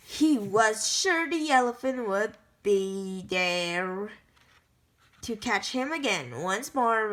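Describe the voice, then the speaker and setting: Slow, bright-voiced woman reading a storybook. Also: fairly high pitch. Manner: careful, halting reading with frequent self-corrections. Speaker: storybook reader. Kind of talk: reading a picture book aloud.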